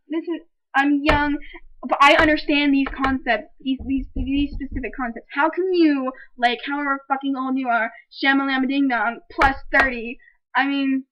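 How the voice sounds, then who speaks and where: Young woman, high voice, exasperated swearing rant, dull lo-fi recording. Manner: exasperated, swears a lot, rambling restarts. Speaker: film reviewer. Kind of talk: ranting casual movie review. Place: room at home with a piano.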